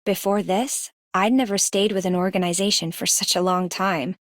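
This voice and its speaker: A thoughtful female mercenary from a game, played by an actress. Her mid-range voice is reserved and measured, captured in a dry studio. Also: medium pitch, fairly even intonation, no echo, natural voice. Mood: reflective. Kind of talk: scripted game character voice lines.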